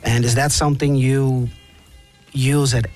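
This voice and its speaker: A man hosting a radio show chats with his studio guest in an easygoing, conversational way. His voice sits at an ordinary middle pitch. Light music runs faintly in the background. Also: flat delivery, a little slow, a little mellow. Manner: conversational, explains to listeners. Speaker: radio host. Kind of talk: radio interview.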